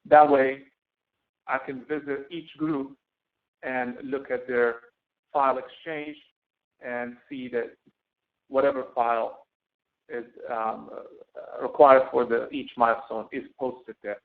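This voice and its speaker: Gravelly, halting male instructor on thin audio. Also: medium pitch, little pitch movement. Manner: halting, hesitant delivery with repeated words. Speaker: course instructor. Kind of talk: informal screen-share tutorial for students. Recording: thin, phone-like narrowband audio.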